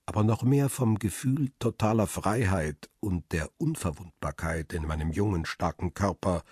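A man narrating a radio crime drama in the first person, calm and reflective, with a husky voice. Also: low-pitched, moderate pace, no echo, studio quality.

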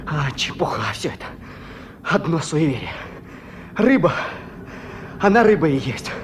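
Grumbling working man, lively swings. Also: a little high, a little slow. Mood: stubborn, irritated. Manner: colloquial, grumbling self-talk. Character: gruff, stubborn working man muttering to himself. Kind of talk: 1970s Soviet radio drama acting. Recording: noisy old radio drama recording.

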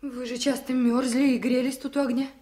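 An actress playing an eager, anxious young expectant village wife in a 1970s radio play, speaking earnestly and emotionally. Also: female voice, sits high, flat delivery, brisk, mellow, lightly acted. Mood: concerned. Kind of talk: 1970s radio drama acting.

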